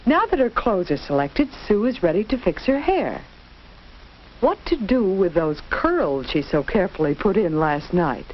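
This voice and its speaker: Female narrator for 1940s classroom film, very varied intonation, instructive, dull aged soundtrack with clear noise. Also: mid-range voice, big pitch swings, normal speed.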